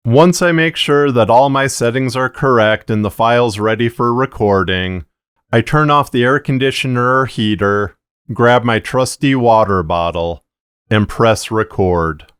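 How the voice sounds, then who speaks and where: A middle-aged man narrating a scripted explainer to camera in a measured, deliberate way, close on a broadcast mic in a small treated booth. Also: medium pitch, clear, resonant tone, dry recording. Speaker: fiction podcaster and narrator. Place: small booth of acoustic blankets, indoors. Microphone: broadcast dynamic mic on boom arm, close.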